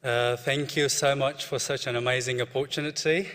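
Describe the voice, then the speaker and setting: A man's voice: medium pitch, fairly even intonation, moderate pace, fairly bright tone. A young man from Ethiopia with an Ethiopian accent, presenting his research as a PhD student in a short personal conference talk. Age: young adult. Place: large hall at a conference. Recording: echoey hall with long reverb.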